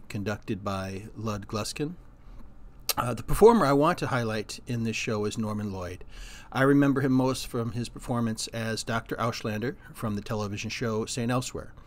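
A man hosting a radio rerun show, giving a spoken introduction to a program. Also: fairly low pitch. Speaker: host of old-time radio rerun show.

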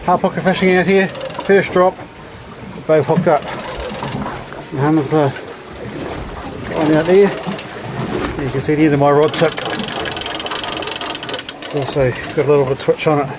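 High-voiced New man on a noisy boat. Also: fairly even intonation, a little slow, pauses often. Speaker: amateur angler narrating own fishing video. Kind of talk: casual chat and running commentary. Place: outdoors on a small boat at sea. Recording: very noisy outdoor boat recording. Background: boat and water noise.

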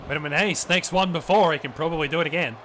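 A man doing excited, profane play-by-play commentary, often throwing in "mate". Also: fairly high pitch, quick pace. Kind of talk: wrestling commentary on a video game match. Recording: noisy background.

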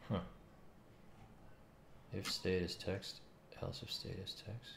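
Low, gravelly man muttering to himself. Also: little pitch movement, slow, fairly bright tone. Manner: muttering in short fragments. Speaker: game developer streaming coding. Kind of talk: thinking aloud while coding. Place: small room at home. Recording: roomy, noisy, keyboard clicks. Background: keyboard typing and mouse clicks.

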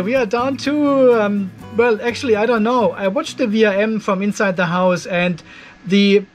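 High-pitched older man vlogging. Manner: chatty, informal, explaining step by step. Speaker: host of off-grid DIY channel. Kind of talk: casual vlog with technical commentary. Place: cluttered garage workshop, indoors.

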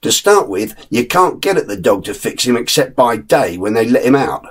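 A man's voice: mid-range voice, sing-song, moderate pace, husky. An older man voicing a curt, surly male burglar, in a read-aloud story. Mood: explaining.

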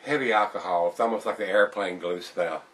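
Brief: middle-aged male vlog host, folksy beer talk, low voice, camera a little off. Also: brisk, a bit bright, far from the mic. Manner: folksy, chatty, addresses viewers as guys. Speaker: beer reviewer, show host. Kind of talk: casual beer review vlog. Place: home room with glass shelves, indoors. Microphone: camera on tripod across the table.

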